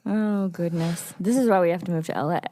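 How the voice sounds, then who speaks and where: A woman in her thirties co-hosting a casual podcast with her husband, playful and quick to push back. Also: on the low side, dark tone, no room sound, clean studio sound. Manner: playful, laughing, argumentative. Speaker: podcast co-host. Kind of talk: casual couple chat.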